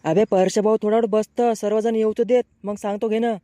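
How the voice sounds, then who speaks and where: A man's voice: high-pitched, flat delivery, fairly quick, dark tone, no room sound, studio quality, lightly acted. A man voicing a brash, grumbling young village man with a rural Marathi accent, bickering in slang in a comic cartoon. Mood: grumbling banter.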